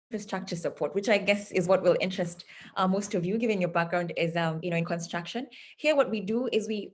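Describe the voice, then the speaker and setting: Kenyan woman presenting on a video call. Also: mid-range voice. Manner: earnest, measured, with frequent fillers. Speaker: founder of a nonprofit, presenter. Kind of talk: online conference presentation.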